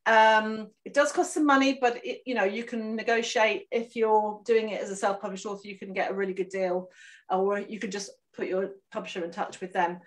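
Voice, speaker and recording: A woman's voice: medium pitch, fairly even intonation, brisk. A woman author chatting on a video call from home, talking casually with many fillers. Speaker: author and networking guest.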